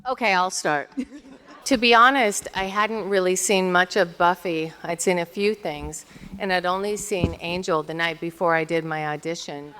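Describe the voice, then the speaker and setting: A woman's voice: fairly low pitch, fairly even intonation, moderate pace, pushed and bright, slight background noise. A woman on a fan panel speaking effusively about how grateful she is. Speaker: actress panelist. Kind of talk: panel talk. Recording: long hall reverb.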